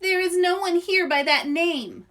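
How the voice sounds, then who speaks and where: A woman's voice: very high voice, a bit bright, voice pushed up. A woman voicing a small, pompous female chipmunk who pretends to be a great fortune-teller in a children's storybook. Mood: grandiose. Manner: pompous, dramatic, drawn-out declarations.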